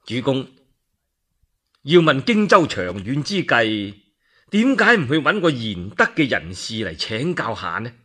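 A man voicing a respectful, deliberate adviser counselling his lord in a Cantonese radio story. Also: medium pitch, very animated, bright, forceful tone, breathy edge, full character voice. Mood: respectful counsel.

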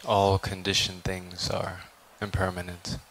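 A man guides a meditation in a low, flat voice. He is calm and contemplative, leaving long pauses between deliberate phrases. Some ambient noise sits behind him. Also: poor audio. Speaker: meditation teacher, Buddhist monk.

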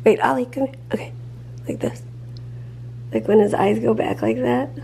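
A woman in her thirties, a vlog co-host, chatting in an animated way to a handheld camera in her kitchen, saying "like" often. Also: fairly high pitch, normal speed, creaky, slight background noise. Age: 30s. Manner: chatty, animated, says "like" a lot. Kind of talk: casual vlog chat. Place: home kitchen. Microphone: handheld camera at arm's length.

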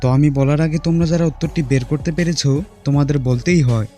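Fast-talking man hosting a quiz. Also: on the high side, mellow, faint music behind. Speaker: quiz video host. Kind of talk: scripted puzzle narration addressed to viewers.

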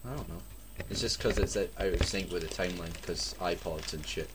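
A man's voice: low voice, a little fast. A Scottish man doing a mocking comic read-aloud with sarcastic, sweary asides. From Scotland. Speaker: co-host reading fan fiction aloud. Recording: noisy home recording.

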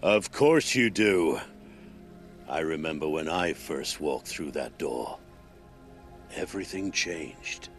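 An actor playing a mocking, pretentious cultist artist, a talking severed head, theatrical and grandiose in a video game. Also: male voice, extremely low pitch, animated, takes it slowly, pauses often, soft background music, heavily acted. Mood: grandiose, knowing. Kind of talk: video game cutscene acting.

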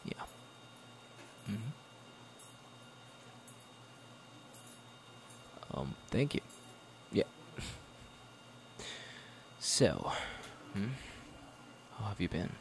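A man acting a flustered, jealous young best friend in an intimate roleplay, with halting, stammering speech and sighs. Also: on the low side, big pitch swings, gravelly, a little hiss or hum, exaggerated voice. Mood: shy, friendly. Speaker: roleplay audio performer.

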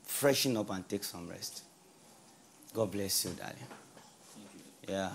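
A middle-aged man playing a calm, authoritative father who speaks in a measured, reassuring way. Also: low-pitched, gravelly, a little hiss or hum, full character voice. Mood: firm. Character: calm authoritative father settling son's fate.

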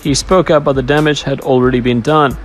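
A man's voice: medium pitch, fairly even intonation, a little fast. A man reading a scripted royal news narration, stumbling now and then as he reads. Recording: music behind the voice. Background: background music.

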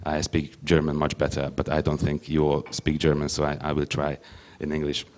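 A young man whose first language is Hungarian, speaking English haltingly on a conference panel into a handheld mic, with many restarts. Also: extremely low pitch, completely flat delivery, darkish tone. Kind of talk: panel discussion.

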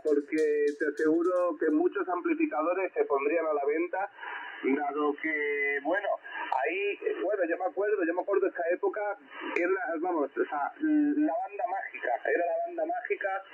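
A man's voice: fairly high pitch, normal speed, a crowd in the background. A man from the Canary Islands with a Canarian Spanish accent chats casually as a ham radio operator, repeating words for emphasis. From Canary Islands, Spain. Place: heard over a ham radio receiver. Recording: tinny shortwave radio with loud static.

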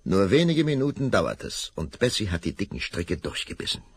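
A man's voice: sits low, sing-song, normal speed, husky. A man narrating a children's radio play, telling the story vividly and dramatically.

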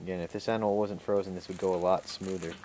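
Low-voiced man teaching outdoors. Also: fast talker, slight background noise. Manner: calm, instructive, talks through each step. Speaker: survival skills instructor. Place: outdoors in a wooded clearing.

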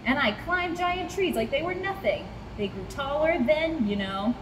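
A grumbling old storybook snake read by a woman, full of nostalgia. The talk is gossipy and fairly high in pitch, with noticeable noise behind it. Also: lively intonation, measured pace, echoey room. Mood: nostalgic.

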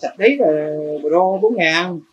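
A man's voice: high voice, expressive, unhurried, muffled recording. A middle-aged man, a shrimp farmer, explaining his methods in a plain, matter-of-fact, rambling way during an on-site interview in an open farm shed. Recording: noisy outdoor farm recording.

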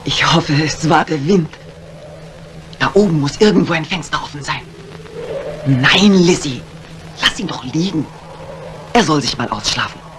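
An actress playing a brisk, dry-witted, commanding mistress of the house in a 1950s German radio mystery. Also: female voice, low voice, lively intonation, a bit bright. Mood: brisk, wary. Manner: composed, commanding, wry. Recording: noisy old 1950s radio recording.

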